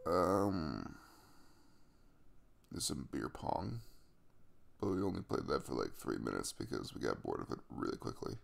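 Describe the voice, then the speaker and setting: Male actor as drowsy, tender young man for intimate audio roleplay: low, very lively intonation, hesitant and casual. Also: unhurried, vocal fry, long pauses, heavily acted. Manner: hesitant, casual, chuckling mumble. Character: sleepy, caring young man waking in his crush's bed. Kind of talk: intimate ASMR boyfriend roleplay.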